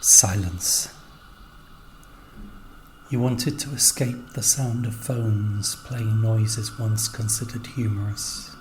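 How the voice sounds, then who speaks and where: A man reading scripted literary narration in a measured, sombre manner. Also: lowish voice, steady tone, a little mellow, a little hiss or hum.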